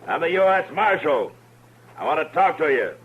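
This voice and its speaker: A man's voice: fairly high pitch, sing-song, moderate pace, phone-quality sound. A man playing a dry, firm U.S. Marshal in a western radio drama. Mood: commanding. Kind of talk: 1950s western radio acting. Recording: faintly noisy old radio recording.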